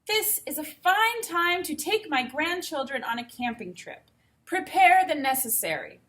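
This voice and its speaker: A regal grandmother princess from a children's storybook, voiced by a woman reading aloud. Her voice is on the high side, and she gives orders in a commanding, decided way. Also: sing-song, unhurried, fairly bright tone, heavily acted. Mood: commanding, eager. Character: royal grandmother princess, commanding, eager to go camping.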